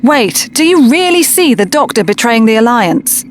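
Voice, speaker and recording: Woman playing a troubled, higher-voiced loyalist. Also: big pitch swings, normal speed, a little hiss or hum. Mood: anxious pleading. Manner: earnest, wistful, questioning. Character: troubled, conflicted, earnest woman, loyal friend. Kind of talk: audio drama acting.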